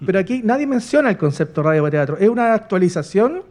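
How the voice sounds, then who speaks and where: A man's voice: sits high, big pitch swings, fast talker, a little mellow. A man in the audience at a live event, putting an informal question to a panel. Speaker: audience member asking a question.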